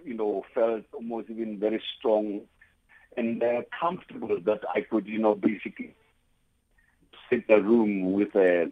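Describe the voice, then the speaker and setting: A South African man giving conversational interview answers in a halting way, often saying "you know". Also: lowish voice, steady tone. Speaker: guest, theatre playwright and producer. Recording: tinny phone-like line.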